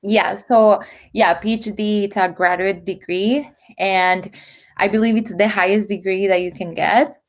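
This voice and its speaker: A woman's voice: mid-range voice, fairly even intonation, unhurried. A chatty young woman from El Salvador talks through her answers. She is a PhD student, and her voice sits in the middle of the range. She laughs easily and fills gaps with ums and likes. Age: 20s. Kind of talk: casual interview answers.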